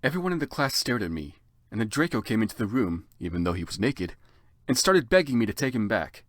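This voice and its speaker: A man's voice: on the low side, big pitch swings, a little fast, fairly bright tone, no room sound. A man narrating fan fiction as a comic dramatic reading, in a theatrical, exaggerated manner.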